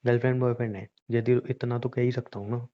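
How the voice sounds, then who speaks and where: A man's voice: medium pitch, flat delivery, fast. A young man chatting casually as a boyfriend, in a teasing, pushy, informal manner. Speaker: boyfriend in a couple's conversation. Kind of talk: casual intimate couple chat in Hindi. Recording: dull, lo-fi call-style recording.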